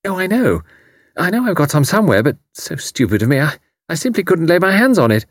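A man's voice: a little high, lively intonation, fairly quick, dry recording, studio quality, pitched above the performer's own voice. A man voicing a flustered, apologetic middle-aged woman who gushes her excuses.

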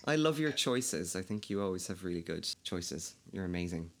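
Gravelly Irish man, chatty. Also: sits low, sing-song, normal speed. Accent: Irish, Kildare. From Ireland. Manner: chatty, laughs often, swears casually. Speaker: podcast host. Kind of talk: casual chat.

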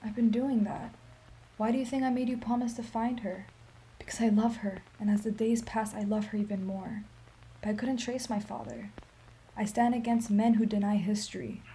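An actress playing a sorrowful, dignified old Armenian woman in a radio play, telling her story in a solemn, measured, emphatic way. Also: a little high, steady tone, warm tone, lightly acted, poor audio. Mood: pleading, resolute.

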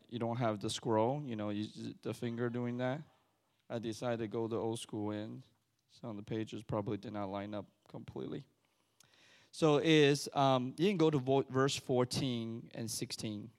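Taiwan-born man, halting conversational sermon. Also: medium pitch, steady tone, darkish tone. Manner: conversational, halting with frequent restarts and fillers. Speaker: church elder. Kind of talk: sermon testimony.

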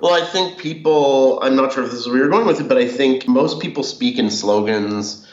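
A man's voice: medium pitch, moderate pace. A man from Canada, a podcast guest, answering thoughtfully with small hesitations and easy laughs. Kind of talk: conversational interview answers. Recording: echoey, muffled remote audio.

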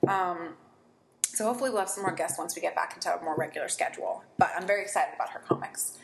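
A woman's voice: lowish voice, fast talker, gravelly, reverberant, slight background noise, poor audio. Chatty rambling woman podcast host. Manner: chatty, rambling, laughs easily. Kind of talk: casual book podcast chat.

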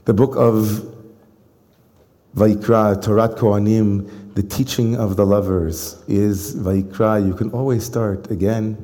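A man giving a sermon as a rabbi, telling a story with rising emphasis and then slowing into soft, reflective phrases. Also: on the low side, fairly even intonation. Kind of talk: sermon to a congregation. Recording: long hall reverb.

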